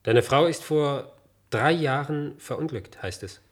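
A man's voice: a little high, unhurried, close to an everyday voice. An actor playing a probing, insistent male friend in a German radio play, questioning in a pointed way.

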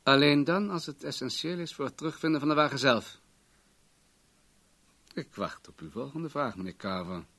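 Rich controlling businessman in a radio drama, measured and formal, sure of himself, medium pitch. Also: sharp tone. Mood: guarded, firm. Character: wealthy, controlling businessman, guarded and self-assured. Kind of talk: radio play acting.

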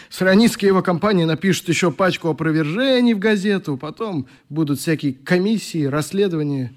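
A man's voice: high-pitched, expressive, a little fast. An actor in a radio play playing a sick, irritable young man who leads youngsters with idealism. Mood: weary, wry. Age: young adult. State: coughing, sick with flu.

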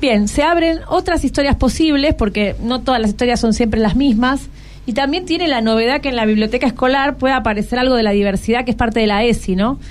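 A woman's voice: fairly high pitch, a little fast. A woman with a Buenos Aires accent hosting a conversational radio interview, prompting with short follow-up questions. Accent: Argentine, Buenos Aires. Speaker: radio host interviewing schoolchildren.

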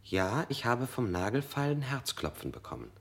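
An actor playing an anxious male suspect in a radio play, narrating in a measured, introspective way. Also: medium pitch, sing-song, creaky, fairly bright tone. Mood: uneasy. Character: anxious, guilt-ridden male suspect under interrogation. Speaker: first-person narrator.

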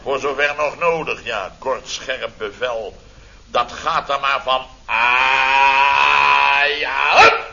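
A man's voice: medium pitch, fairly even intonation, slow, vocal fry, sharp tone, heavily acted. An actor playing a blustering, sarcastic middle-aged contractor boss, telling his mishaps theatrically in a radio comedy serial. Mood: amused, exclamatory. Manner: theatrical, exclamatory, sarcastic storytelling. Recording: dull, noisy old radio recording.

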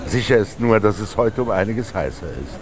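A man's voice: on the low side, expressive, fairly quick, crowd noise, close to an everyday voice. An actor playing a lonely, reflective man narrating his thoughts in a radio drama, with a dry literary delivery. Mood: pensive. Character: lonely young scribe, musing narrator wandering through rainy Munich. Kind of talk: introspective radio drama monologue.

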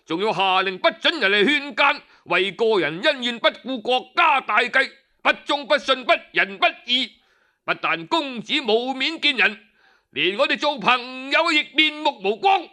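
A righteous Cantonese commoner sage from a storybook, played by a male narrator. He declaims bluntly and indignantly in a high, forceful voice, hammering each phrase. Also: expressive, measured pace, full character voice. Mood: scolding, impassioned. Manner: declamatory, indignant, hard-hitting. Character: blunt, righteous commoner sage scolding his noble friend.

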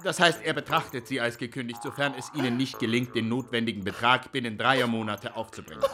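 An actor playing a rich lawyer in a radio drama, unctuously polite and menacing, with formal, drawn-out phrasing. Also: male voice, medium pitch, steady tone, pushed and bright, natural voice. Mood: stern.

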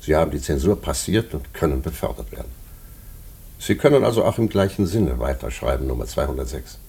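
A cold prison director in a radio drama. His voice is deep, dark and rough-edged, and he speaks with formal, pedantic officiousness. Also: expressive, a little fast, heavily acted. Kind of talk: German radio play acting.

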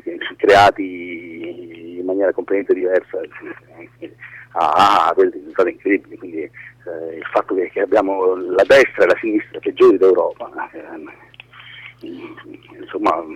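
A man's voice: low-pitched, fairly even intonation, breathy edge, no room sound, highs cut off. A man speaking as an interview guest in an informal, hesitant way, repeating words as he searches for the next. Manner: hesitant, halting, repeats words, casually profane.

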